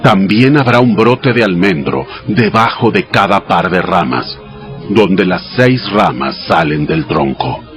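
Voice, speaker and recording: A man playing God in a dramatized audio Bible, giving exact instructions in a solemn, measured, commanding manner. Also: low voice, animated. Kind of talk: dramatized scripture reading. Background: music behind the voice.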